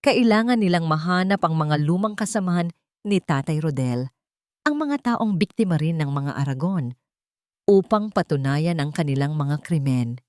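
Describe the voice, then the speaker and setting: A woman's voice: sits low, expressive, normal speed, darkish tone, dry recording, clean studio sound. A woman reading a scripted romantic drama story as its narrator.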